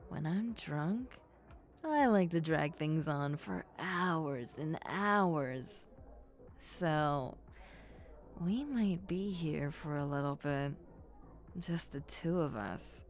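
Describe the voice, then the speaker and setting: A woman acts out a popular schoolgirl who has had a few drinks, in an intimate roleplay. Her voice sits low, and she drags her words out very slowly. She is coy and teasing, hesitant and prone to giggles. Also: lively intonation, a little hiss or hum, full character voice. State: acting drunk. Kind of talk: intimate roleplay monologue to the listener.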